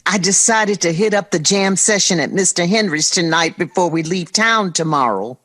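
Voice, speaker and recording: A woman playing a warm, playful, reassuring middle-aged mother of a grown son in a natural audio drama. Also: on the low side, moderate pace. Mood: playful, loving.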